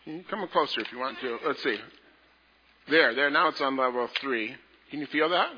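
A man leading a children's message in a church service, talking to the children in a gentle, playful way. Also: medium pitch, moderate pace, a little hiss or hum. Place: large church sanctuary. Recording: echoey hall, dull lo-fi sound.

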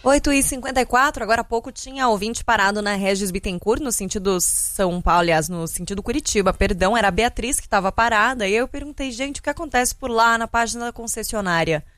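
A woman's voice: on the high side, fast, hardly any pauses, a bit bright, dry recording, faint music behind. A Brazilian woman presenting live radio news and traffic updates in a conversational, explanatory way. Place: radio studio.

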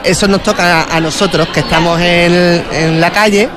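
A woman's voice: fairly low pitch, fairly even intonation, normal speed. A woman, a nurse, speaking hesitantly with many restarts at an outdoor event. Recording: outdoor event with crowd noise. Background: crowd chatter.

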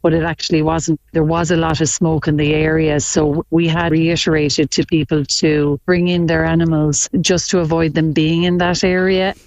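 Gravelly Irish fire officer woman. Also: low-pitched, little pitch movement, moderate pace, hardly any pauses, darkish tone, no room sound, highs cut off. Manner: matter-of-fact explaining.

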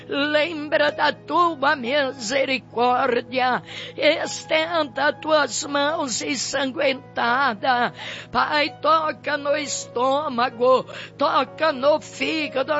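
A woman's voice: high voice, gravelly, a bit bright. A Brazilian woman leading a fervent intercessory prayer as a preacher, pleading tearfully in short phrases. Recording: dull lo-fi audio with music. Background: music.

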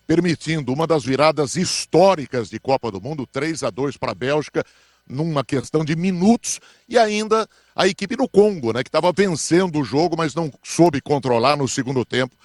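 A man talking as a radio sports commentator on a morning show, explaining matches in a measured way. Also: mid-range voice, no echo, clean studio sound.

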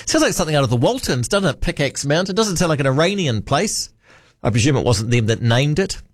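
A man's voice: on the high side, big pitch swings, a little fast, no echo, studio quality. A man with a New Zealand accent hosting a late-night talk radio show, chatty and wry, musing aloud. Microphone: studio microphone.

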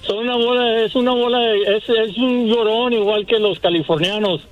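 A man's voice: sits high, steady tone, normal speed, dull audio, faint music behind. A man from Chihuahua, calling into a radio show and giving blunt, dismissive short answers. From Chihuahua, Mexico.

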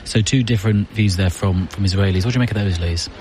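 A man's voice: low voice, darkish tone. A man presenting a radio news programme, questioning a correspondent and introducing listener clips. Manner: brisk interviewer.

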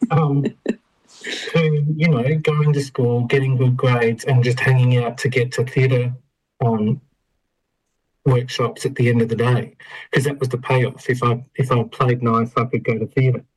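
An Australian man from Melbourne talks as a radio interview guest. He tells his story in a relaxed, conversational way, pausing on ums and laughing easily. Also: mid-range voice, rough recording.

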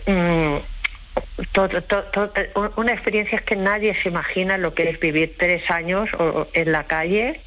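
A tired woman interviewed by phone, giving a personal account in a halting, reflective way. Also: on the low side, fairly even intonation. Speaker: interview guest, host to migrants. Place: remote phone call. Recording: muffled, band-limited phone call.

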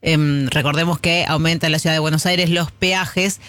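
Bright brisk news presenter. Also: medium pitch, fairly even intonation. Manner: brisk segment introduction.

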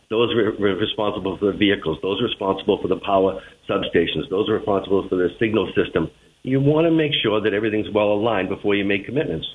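Male radio guest, Boston accent, lowish voice, official answering questions down a thin phone line. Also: slight background noise. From Boston, United States. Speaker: transit agency general manager, interview guest. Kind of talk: spoken radio interview answers. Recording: narrow tinny phone line.

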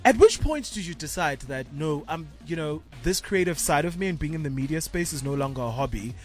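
A woman's voice: sits low, lively intonation, fairly quick, soft background music. A South African woman hosting a casual radio interview, introducing her guest and putting questions to them. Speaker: radio host.

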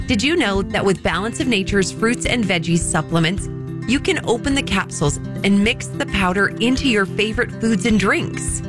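A woman announcer reading scripted ad copy in a bright, inviting manner. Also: mid-range voice, animated, normal speed, music in the background. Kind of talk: scripted ad voice-over.

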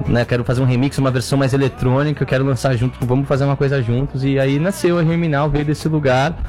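A man from Brazil talks loosely as a guest on a chat show, circling his points with fillers and restarts. His voice sits at an ordinary middle pitch, and faint music runs under the conversation. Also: fairly even intonation, quick pace, darkish tone. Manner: rambling, many fillers and restarts. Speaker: guest singer-songwriter. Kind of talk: casual interview chat.

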